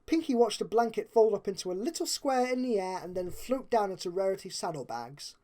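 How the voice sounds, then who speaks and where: A woman's voice: mid-range voice, animated, a little fast, warm tone. A woman narrating a story expressively, as if reading a storybook aloud.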